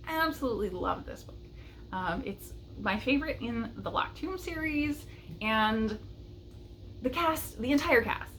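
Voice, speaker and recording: A woman's voice: mid-range voice, slight background noise, low-quality recording. A woman chatting casually and enthusiastically as a book vlogger, with a light chuckle in her talk.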